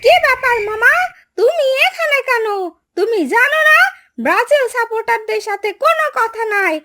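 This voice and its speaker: Woman voicing a shrill hot-headed cartoon boy. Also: lively intonation, sharp tone, full character voice. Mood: annoyed. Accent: Bangladeshi. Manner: quick-tempered, stubborn. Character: hot-headed young boy football fan. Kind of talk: cartoon voice acting.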